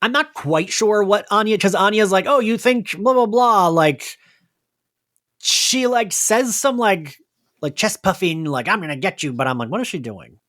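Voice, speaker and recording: Podcast co-host, very lively intonation, giggly, casual recap chat, quoting characters. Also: mid-range voice, normal speed. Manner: giggly, animated, quotes characters.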